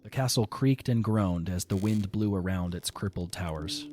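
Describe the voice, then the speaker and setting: Deep-voiced male storybook narrator. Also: slight background noise. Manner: calm, measured reading.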